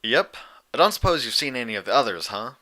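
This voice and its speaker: A man voicing a steady, folksy young female farm pony with a casual twang in a story reading. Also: mid-range voice, fast talker. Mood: low-key wary.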